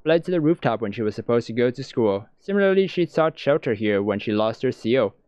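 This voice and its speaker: A man's voice: medium pitch, animated, a little fast, a little mellow, smooth, no room sound. A man reading visual novel narration aloud, as in a let's-play. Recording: dull lo-fi sound, music behind.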